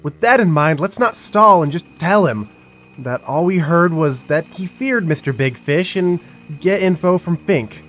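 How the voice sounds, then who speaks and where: An actor playing a sarcastic, excitable male sidekick and guide in an audio drama. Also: on the high side, a little slow, a little hiss or hum. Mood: snarky.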